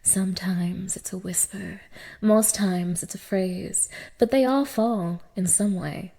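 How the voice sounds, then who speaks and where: A woman's voice: mid-range voice, slow, mellow. Woman acting a sneering, contemptuous dominatrix. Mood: cold, mocking. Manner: sneering, scornful, swearing, swinging from breathy murmurs to raised snaps. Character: cruel, contemptuous young dominatrix belittling a submissive man. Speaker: audio roleplay performer. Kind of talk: intimate ASMR roleplay acting.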